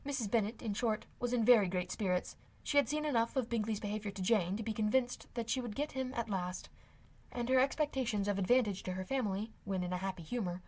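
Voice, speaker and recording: A woman's voice: medium pitch, darkish tone. A woman reading a classic novel aloud as scripted audiobook narration. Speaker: amateur audiobook reader.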